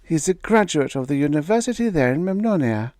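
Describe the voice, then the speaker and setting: Male reader voicing a resolute young daughter, raised pitch, dry close sound, storybook read. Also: animated, brisk, no room sound. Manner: firm, quietly resolute. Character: young woman defying her bigoted father.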